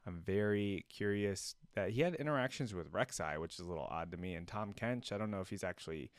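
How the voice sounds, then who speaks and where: A man's voice: deep voice, a little fast, no echo, clean studio sound. A man hosting a reaction video, musing aloud in casual, rambling, hesitant commentary.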